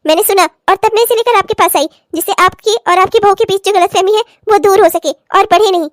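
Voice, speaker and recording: Casting: woman as no-nonsense relative in a family drama, high voice, righteous and cutting. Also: fast talker, dry recording, studio quality. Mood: firm, explaining. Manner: emphatic, sarcastic, lecturing. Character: stern, righteous woman in-law exposing the family's hypocrisy. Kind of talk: melodramatic family story acting.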